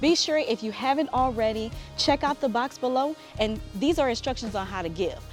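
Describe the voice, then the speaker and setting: A woman speaks upbeat and directly to viewers in a higher voice. Faint music runs beneath her. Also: animated, a little fast. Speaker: church announcer. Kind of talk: friendly closing announcement.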